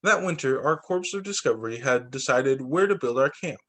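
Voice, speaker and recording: A man, a teacher, reading a picture book aloud to students in an expressive, deliberate storytelling way. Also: medium pitch.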